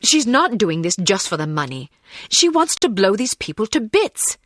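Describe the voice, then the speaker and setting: A woman's voice: medium pitch, very animated, moderate pace, a bit bright, no echo. An actress playing a confident, assertive female secret agent in a video game, cool and headstrong. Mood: determined.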